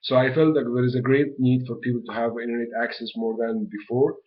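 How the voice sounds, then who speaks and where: A man's voice: mid-range voice, little pitch movement, quick pace. A young man from Egypt, a graduate student answering interview questions hesitantly, with lots of fillers and restarts. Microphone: webcam mic. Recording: dull lo-fi webcam audio.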